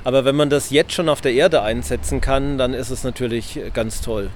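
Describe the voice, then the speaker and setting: A man, an engineer, explaining his probe tests in an interview, speaking in a measured way with hesitant fillers. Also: mid-range voice, fairly even intonation, slight background noise. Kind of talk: conversational explanation. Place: event venue.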